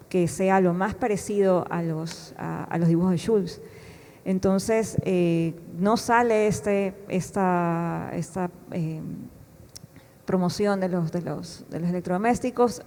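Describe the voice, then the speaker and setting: Woman, panelist, earnest, explanatory, low pitch, warm dark voice. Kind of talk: panel discussion. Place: book fair panel hall. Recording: echoey hall sound.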